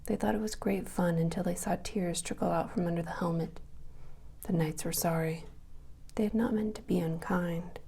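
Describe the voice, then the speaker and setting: A woman reading a children's story aloud as ASMR in a soft, breathy whisper. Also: lowish voice, fairly even intonation, moderate pace, slight background noise.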